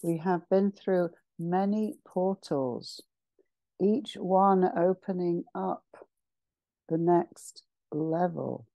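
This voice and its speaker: A woman with a lowish, soft-toned voice leads a guided meditation at an extremely slow pace. She is solemn and ceremonial, setting out short phrases with pauses between them. The sound is close and dry. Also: vocal fry, no echo, poor audio. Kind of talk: slow guided meditation, channeled spiritual address.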